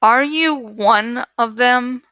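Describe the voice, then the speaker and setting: A woman's voice: on the high side, slow, bright, forceful tone, no room sound, studio quality. Woman acting out a crazed, lost old woman. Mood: eerie, suspicious. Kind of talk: audiobook character acting.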